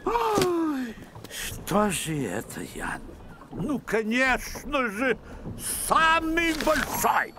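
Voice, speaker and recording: Fawning high-voiced merchant. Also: male voice, huge pitch swings, very slow, noisy background, exaggerated voice. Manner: obsequious, hesitant. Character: fawning, servile male boat merchant, nervous and eager. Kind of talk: animated film voice acting.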